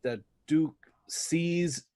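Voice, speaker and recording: A man's voice: fairly high pitch, very slow, mellow, no echo. A man being interviewed as a guest, reflecting thoughtfully and often searching for his words. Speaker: interview guest, voice actor in an audio drama.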